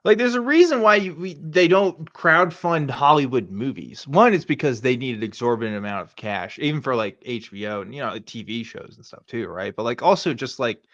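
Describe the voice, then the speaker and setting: A man co-hosting a casual reaction show, speaking at an average pitch. His delivery is informal and hedging, full of "like" and "right?". The sound is dry and somewhat dull. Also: lively intonation, a little fast, few pauses, a bit bright, dry recording. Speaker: show co-host. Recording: dull, band-limited dry audio.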